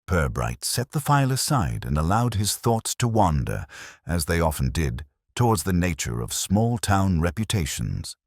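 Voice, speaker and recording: A man narrating a radio mystery in a measured, dryly ironic storytelling manner. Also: deep voice, very animated, dry recording, clean studio sound.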